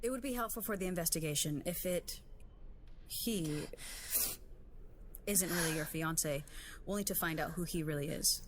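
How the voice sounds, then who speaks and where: An actress playing a courteous police detective in a drama, speaking in a formal, measured, careful way. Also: female voice, fairly low pitch, a little hiss or hum, natural voice. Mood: careful, somber. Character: composed, courteous female police detective breaking bad news. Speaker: supporting actress. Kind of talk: naturalistic screen drama acting.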